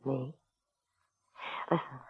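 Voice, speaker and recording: An actress playing a frank, plainspoken young diner waitress, wistfully smitten, in a 1950s radio drama. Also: female voice, extremely slow. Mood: knowing, intimate. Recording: very muffled, dry vintage radio recording.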